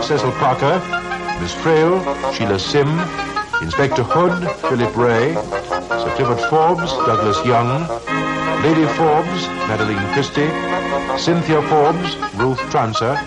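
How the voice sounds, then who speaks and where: A man's voice: mid-range voice, rough recording. Male announcer, English accent, formal, scripted, vintage radio, mid-range voice, prominent music. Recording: old radio recording. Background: loud music.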